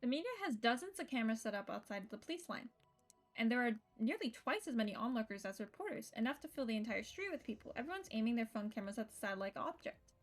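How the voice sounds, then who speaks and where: A woman with a fairly high voice reads story narration aloud as she streams a game. Music plays under her reading. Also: expressive, fast talker.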